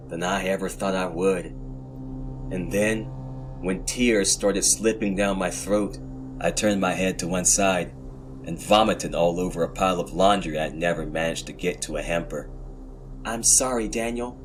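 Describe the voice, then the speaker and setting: Low-pitched man narrating horror fiction. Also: level tone, unhurried. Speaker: podcast host and narrator. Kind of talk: dramatic first-person horror fiction narration. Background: music.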